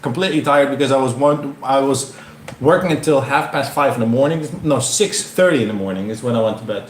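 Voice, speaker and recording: Fast-talking man, German native speaker. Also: medium pitch. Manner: chatty, rambling, self-correcting, gestures a lot. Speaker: livestream host. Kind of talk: casual livestream chat. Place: living room with framed photos on wall. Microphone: camera-mounted mic, seated on couch. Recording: echoey room with faint hiss.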